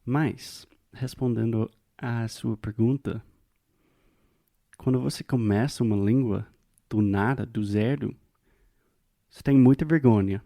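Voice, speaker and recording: A man's voice: medium pitch, a little slow, gravelly, long pauses, warm tone, no echo, clean studio sound. A man from the United States, a native English speaker with an American accent, co-hosting a podcast and speaking in an earnest, reflective way. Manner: earnest and reflective, thinks aloud. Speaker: podcast co-host. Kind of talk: casual conversation.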